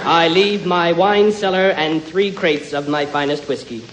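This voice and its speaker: A man's voice: fairly high pitch, unhurried, lightly acted. A man playing a formal, theatrical lawyer who reads out a will with gleeful spite in a comedy sketch. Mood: gleefully spiteful. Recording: roomy live stage, audience, noisy.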